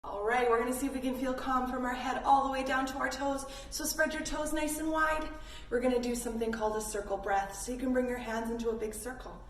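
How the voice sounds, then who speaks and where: A woman with a high voice guides children through yoga in a playful way. She encourages them and talks to them directly as friends. The sound is roomy and a little distant, with faint noise behind her. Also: steady tone, fast talker. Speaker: kids yoga instructor.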